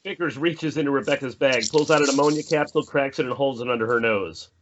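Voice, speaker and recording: A man's voice: medium pitch, quick pace. A man reading out the stage directions of a horror screenplay as narrator at a table read. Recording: slightly dull mic, faint noise.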